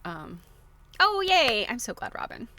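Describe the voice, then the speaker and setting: A woman's voice: a little high, sing-song, a little slow, pushed and bright. A woman hosting a casual livestream, chatting to viewers in a rambling way as she thinks aloud. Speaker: livestream host.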